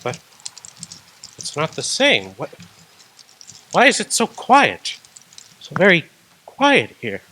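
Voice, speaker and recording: Man doing a scared old miser, stammering, pleading, high bright voice, swinging pitch, radio play. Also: huge pitch swings, slow pace, pauses often, full character voice. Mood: anguished. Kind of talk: amateur radio drama acting.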